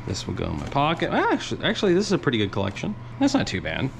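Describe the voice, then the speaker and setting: A man's voice: mid-range voice, huge pitch swings, racing, vocal fry. A man casually narrating as he collects money from his laundromat, talking to viewers in an offhand running commentary. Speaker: laundromat owner filming a vlog. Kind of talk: casual vlog narration while working. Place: small indoor laundromat. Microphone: head or chest-mounted action camera. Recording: noisy action camera audio with clicks.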